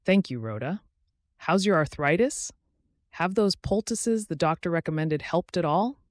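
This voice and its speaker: A man voicing a warm, eager young woman with an affectionate, earnest manner in an audiobook. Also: a little high, expressive, a little slow, no room sound, clean studio sound, voice pushed up. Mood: friendly.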